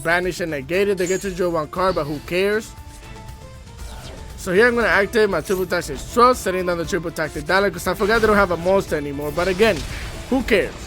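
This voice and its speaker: A man's voice: sits high, quick pace, pushed and bright, soft background music. A man with a stuffy, congested voice giving hype, slangy commentary over card game replays. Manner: hype, slangy trash talk. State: congested from a cold. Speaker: gaming YouTuber. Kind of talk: casual gameplay commentary.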